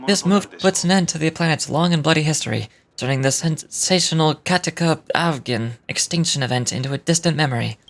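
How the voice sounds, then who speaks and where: A man reading video game story text aloud, halting and repeating words as he goes. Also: fairly high pitch, vocal fry.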